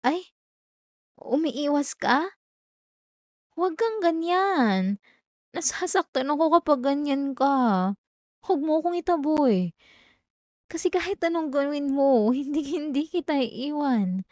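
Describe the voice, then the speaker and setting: A woman's voice: high-pitched, expressive, slow, long pauses, a little mellow, dry recording. A young woman with a Filipino accent voicing an obsessive, possessive female classmate in an intimate, dramatic ASMR roleplay, addressing the listener up close. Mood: hurt, clinging. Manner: intimate, pleading turning coldly threatening, dramatic. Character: obsessive yandere female classmate, clingy, wounded, menacingly possessive. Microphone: close microphone, dry sound.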